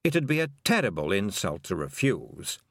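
A man voicing a grumpy, self-important talking toad with a deadpan manner in an audiobook. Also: fairly low pitch, normal speed, dry recording, clean studio sound. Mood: dry, deadpan. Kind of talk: audiobook character acting.

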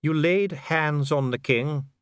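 A man voicing a calm dwarf official with a measured, bureaucratic, smoothly courteous manner in an audiobook. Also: on the high side, no echo, clean studio sound, voice pushed up. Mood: coolly polite. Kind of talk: audiobook character voice.

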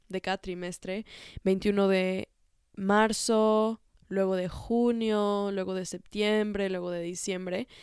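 A young woman hosting a podcast, talking in a relaxed, reflective way with many fillers, a little congested from a cold. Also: mid-range voice, clean tone, dry recording, clean studio sound. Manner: warm, unhurried, frequent fillers and soft laughs. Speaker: podcast host. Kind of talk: reflective casual monologue.

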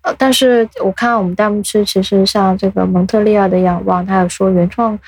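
A woman's voice: mid-range voice, fairly even intonation, brisk, a little mellow, slightly rough, dry recording, faint music behind, rough recording. A woman hosting a casual interview, putting her questions and thoughts in a tentative, thoughtful way. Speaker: podcast host.

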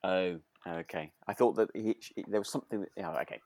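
A man co-hosting a relaxed, chatty podcast, talking in a good-humoured, hesitant way and often correcting himself. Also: low voice, brisk. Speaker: podcast co-host. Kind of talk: relaxed casual chat about a radio drama.

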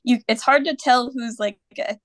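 A woman's voice: a little high, normal speed, dry recording. Earnest American woman, dry close sound. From Pennsylvania, USA. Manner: thoughtful, earnest, with many fillers. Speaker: podcast guest. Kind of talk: casual podcast chat.

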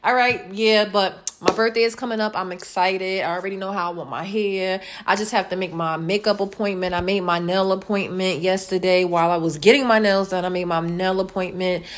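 A woman in her late thirties hosting a casual solo podcast, chatty and playful, repeating words and filling pauses with um. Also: mid-range voice, fairly even intonation, slight background noise. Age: late 30s. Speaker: podcast host.

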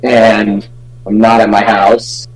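A man's voice: on the low side, little pitch movement, slow pace, low-quality recording. A young man co-hosting a casual group podcast with a dry, sarcastic manner. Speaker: podcast co-host. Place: away from home, on a group call. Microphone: makeshift, not usual microphone.

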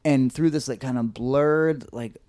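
A man with a slightly high, nasal voice, telling a story on a casual podcast, rambling and hesitant with lots of "like"s and restarts. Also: measured pace, warm tone, no echo, clean studio sound.

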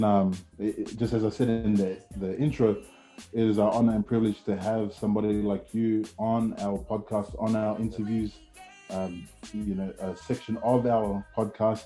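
A man's voice: lowish voice, level tone, moderate pace, dark tone, faint music behind. A man hosting a podcast interview, hesitant and encouraging, with many fillers. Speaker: podcast host.

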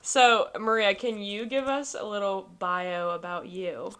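A woman's voice: mid-range voice, steady tone, unhurried, fairly bright tone, clear, resonant tone. A young woman hosting a casual podcast, chatty and upbeat, steering the talk with her guest. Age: 20s. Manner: chatty, upbeat, leads the conversation. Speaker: podcast host. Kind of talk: casual chat.

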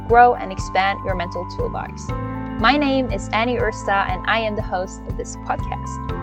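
A Norwegian-born woman in her twenties hosting a solo coaching podcast from inside a van, talking in an earnest, encouraging way with small restarts and laughs. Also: mid-range voice. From Norway. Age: 20s. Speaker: podcast host and life coach.

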